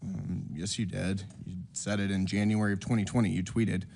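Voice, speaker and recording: A man with a voice hoarse from a cold, questioning a witness pointedly at a congressional hearing. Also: sits low, little pitch movement, moderate pace, darkish tone. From United States. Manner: pointed, prosecutorial, reads quotes back. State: losing his voice from a cold.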